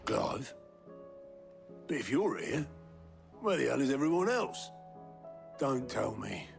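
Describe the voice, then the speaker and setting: Gravelly, gruff British hideaway veteran. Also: male voice, mid-range voice, big pitch swings, slow pace, heavily acted. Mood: surprised. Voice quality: gravelly, creaky. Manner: blunt, colloquial, dryly teasing. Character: gruff, wry middle-aged man running a fantasy hideaway. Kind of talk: cinematic video game acting. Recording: music behind the voice.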